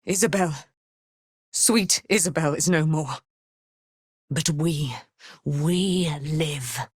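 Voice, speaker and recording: A woman's voice: sits low, slow, slightly rough, dry recording, studio quality, full character voice. A woman playing a proud, righteous immortal warrior in a video game, with grand, formal, declamatory delivery and archaic phrasing. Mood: solemn, grieving. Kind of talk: dramatic video game voice acting.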